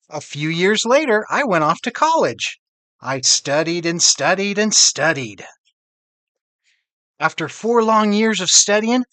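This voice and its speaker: A man plays a loving storybook mom sharing fond memories with her child. The phrasing is tender and drawn out, swinging widely in pitch, in a dry recording. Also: a bit bright, no room sound, exaggerated voice. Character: loving mother fondly recalling happy times to her child.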